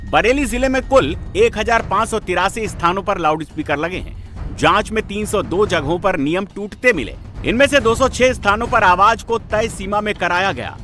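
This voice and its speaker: A man's voice: high voice, bright, forceful tone. A man reading a dramatic scripted TV news voice-over in a punchy, emphatic way. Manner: punchy, emphatic, repetitive phrasing. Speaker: news reporter narrator.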